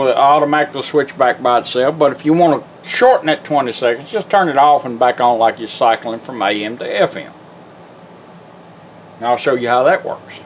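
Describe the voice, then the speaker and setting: A man's voice: medium pitch, slight background noise, rough recording. A man giving a casual, hands-on product demonstration, instructing step by step in a folksy, plain-spoken way. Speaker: radio restorer and demonstrator.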